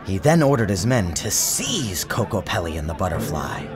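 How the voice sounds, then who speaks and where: Male storybook narrator, theatrical and expressive, swooping intonation, music underneath. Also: sits low, normal speed. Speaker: author narrating his storybook. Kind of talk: dramatic scripted storybook narration. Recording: music and sound effects behind narration.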